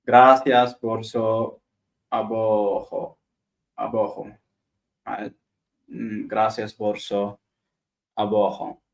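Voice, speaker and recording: A man's voice: fairly low pitch, little pitch movement, extremely slow, long pauses. A man whose first language is Arabic, practicing beginner phrases aloud, hesitating and repeating words over and over. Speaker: language learner streamer. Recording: echoey room.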